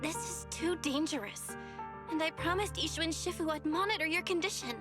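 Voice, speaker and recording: A woman's voice: very high-pitched, fairly quick, over music. A woman voicing a concerned, loyal young friend in an anime video game, speaking firmly and earnestly. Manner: earnest, insistent.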